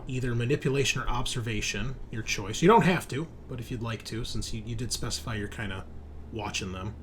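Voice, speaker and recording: A man with a medium-pitched voice acts as game master at a tabletop roleplaying session. He describes places and explains options in a conversational way. There is light noise in the room behind him. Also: a little fast, fairly bright tone.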